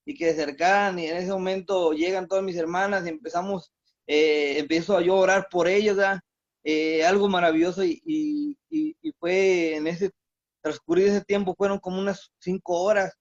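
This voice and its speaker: A man with a high voice tells his own spiritual testimony. He stumbles over his words and restarts his sentences often. The recording sounds dull and lo-fi. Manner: halting, many restarts and fillers. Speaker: church member giving testimony.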